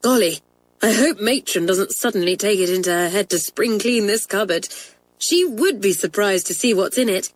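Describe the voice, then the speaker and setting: A woman's voice: medium pitch, lively intonation, normal speed, no room sound, lightly acted, rough recording. A woman voicing a bubbly, giggly schoolgirl who talks in gushing exclamations. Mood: excited.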